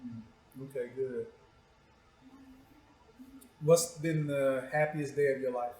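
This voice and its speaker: A man's voice: medium pitch, fairly even intonation, takes it slowly, dark tone. A man hosting a conversational interview, speaking in a probing, direct way. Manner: probing, short direct questions and prompts. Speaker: show host interviewing a guest. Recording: echoey room.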